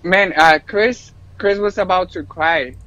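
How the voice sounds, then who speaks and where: A man's voice: high-pitched, measured pace, bright, forceful tone, no room sound, dull audio. A man chatting casually as a podcast guest, slangy and repeating phrases for emphasis. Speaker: podcast guest, fighting game player. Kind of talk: casual chat.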